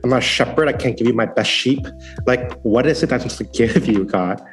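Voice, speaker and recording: A man's voice: medium pitch, fairly quick, soft background music. A young adult man telling his life story in a casual, earnest way, acting out what he and others were thinking. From born in San Francisco, raised partly in Hong Kong. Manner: earnest storytelling with acted-out inner quotes. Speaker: guest, former software engineer turned digital missionary. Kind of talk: casual personal testimony.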